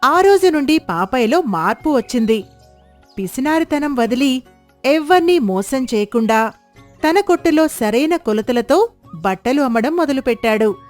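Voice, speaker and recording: Female narrator, high register, scripted storybook delivery, faint music underneath. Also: expressive, normal speed. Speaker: narrator of moral stories.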